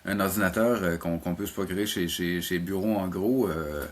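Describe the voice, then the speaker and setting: A man's voice: deep voice, normal speed. A man with a Québécois French accent giving casual interview answers as a teacher, searching for words and often repeating them. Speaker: animation teacher being interviewed.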